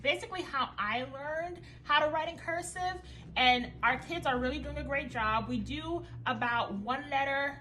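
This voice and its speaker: A woman's voice: high voice, sharp tone, a little hiss or hum, poor audio. A young woman from Haiti whose first language is French, a homeschooling mother giving an upbeat, friendly review of her curriculum in a casual vlog.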